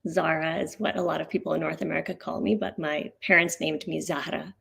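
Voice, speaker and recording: A woman from Canada, invited to speak at a community gathering. Her voice sits a little low and has a gravelly fry in it. She talks in an earnest, personal way and pauses now and then. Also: fairly even intonation, fairly bright tone. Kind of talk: personal talk at a community event.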